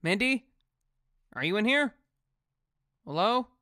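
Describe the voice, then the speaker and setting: A woman playing an earnest, awkward teenage boy superhero in a comic dub, casual and expressive. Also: mid-range voice, slow pace, background noise. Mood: defensive, curious.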